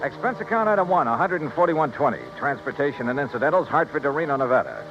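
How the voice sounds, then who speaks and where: A man's voice: on the high side, lively intonation, quick pace. An American man playing a wry, breezy insurance investigator in a 1950s radio drama. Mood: brisk, matter-of-fact. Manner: breezy, wisecracking. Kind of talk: 1950s radio detective acting and narration. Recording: muffled vintage 1950s radio recording, music.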